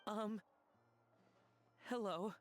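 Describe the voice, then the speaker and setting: A woman's voice: medium pitch, extremely slow, a little hiss or hum. A woman playing a timid, apologetic hired underling in a video game, hesitant and pleading. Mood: nervous, apologetic.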